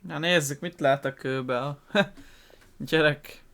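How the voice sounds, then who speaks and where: A man's voice: fairly high pitch, steady tone, slow pace, creaky, a bit bright. A man hosting a gaming video, commenting casually as he plays.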